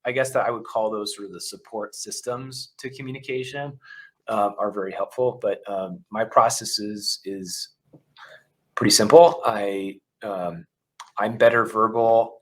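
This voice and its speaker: A company CEO talks in an interview with a fairly low voice. He speaks candidly and works through his thoughts aloud, with frequent fillers. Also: fairly even intonation, normal speed, a bit bright, low-quality recording. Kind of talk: interview conversation.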